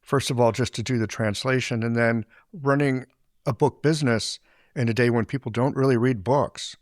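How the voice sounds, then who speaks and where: Man, podcast host, reflective, mid-pitched, dry close sound. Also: fairly even intonation, moderate pace, no room sound. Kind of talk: interview conversation.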